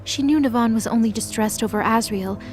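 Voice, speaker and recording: A woman's voice: a little high, brisk, darkish tone, soft background music. A woman giving scripted, dramatic narration as the storyteller of an audio drama.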